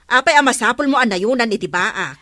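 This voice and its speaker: Lively, higher-pitched Ilocano village woman. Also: a little fast, no room sound, studio quality. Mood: teasing. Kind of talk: comedic radio drama acting.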